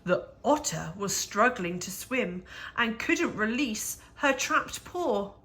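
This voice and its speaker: A woman reads a children's picture book aloud in a bright, clear-cut tone. Her pace is slow and measured. She delivers the rhyming verse expressively, leaning on key words for drama. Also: on the high side. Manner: measured, animated storytelling with dramatic emphasis. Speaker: children's story reader.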